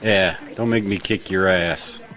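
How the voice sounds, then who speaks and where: A man's voice: sits low, steady tone, a little slow, fairly bright tone. A man playing a teasing, brash drinking buddy in an audio drama. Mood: combative.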